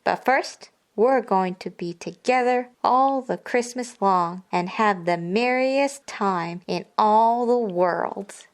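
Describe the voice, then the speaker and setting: Woman playing an eager, slow-spoken little girl. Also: mid-range voice. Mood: joyful, tender. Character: eager, affectionate little girl, Scrooge's younger sister. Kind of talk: classic radio drama acting.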